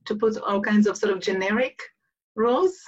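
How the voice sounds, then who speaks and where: A woman whose first language is Hebrew, interviewing a guest in a halting way with many restarts and fillers. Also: mid-range voice. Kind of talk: online interview chat. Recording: distant, dull lo-fi audio.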